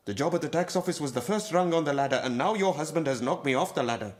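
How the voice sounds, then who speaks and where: An actor playing an embittered moneylender in a radio drama, with a deliberate, insinuating, formally polite menace. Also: male voice, fairly high pitch, lively intonation, natural voice. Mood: bitter pleading. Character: embittered, menacing Indian moneylender and lowly clerk, a father.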